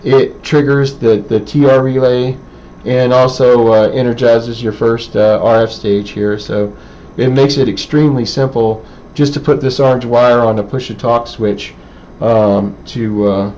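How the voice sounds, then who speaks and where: A man presents a hands-on electronics how-to without a script. His pitch is middling and his delivery hesitant, as if working out each sentence while he goes. The sound is dull and lo-fi, with clear background noise. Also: darkish tone. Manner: halting, hesitant, thinking aloud. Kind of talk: unscripted technical demonstration. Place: indoor electronics workbench.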